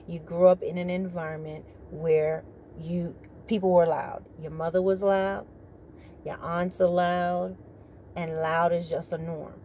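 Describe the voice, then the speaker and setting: Middle-aged woman, retired soldier type, deep rich low voice, formal measured monologue. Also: warm tone, muffled recording, slight background noise. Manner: measured, formal briefing-like delivery. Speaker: retired military vlogger. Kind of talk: opinionated monologue to camera.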